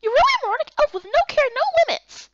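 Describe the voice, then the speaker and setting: A woman's voice: very high-pitched, huge pitch swings, very fast, highs cut off, background noise, voice pushed up, heavily acted. A woman voicing an eager, feisty young heroine in a fantasy game, exclamatory and emotive. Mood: indignant.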